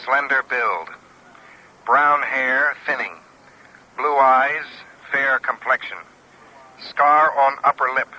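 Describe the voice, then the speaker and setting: A man's voice: medium pitch, sharp tone, rough recording. An American man reading a scripted 1950s radio bulletin item by item in a clipped, impersonal manner. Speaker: radio announcer reading a wanted notice.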